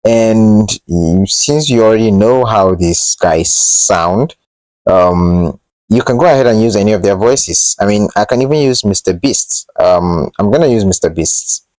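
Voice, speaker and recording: Low-voiced man presenting a tech tutorial. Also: a little slow, a little mellow, dry recording, rough recording. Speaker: host of a tech tutorial channel. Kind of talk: casual screen-share tutorial narration.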